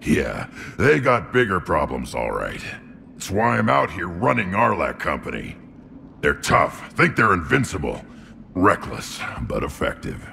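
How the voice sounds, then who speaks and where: An actor voicing a young male krogan warrior, brash and proud, with a gravelly voice and a gruff, blunt way of talking. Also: sits low, wildly swooping pitch, unhurried, sharp tone, big-room sound, slight background noise, full character voice. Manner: gruff, blunt soldier talk. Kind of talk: video game voice acting.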